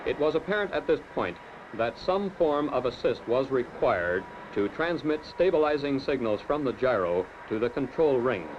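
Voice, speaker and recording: A man gives measured, formal narration for a technical film, his voice sitting at an ordinary middle pitch. The sound is dull and lo-fi, like an old film soundtrack. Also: big pitch swings, noisy background. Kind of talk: scripted documentary narration.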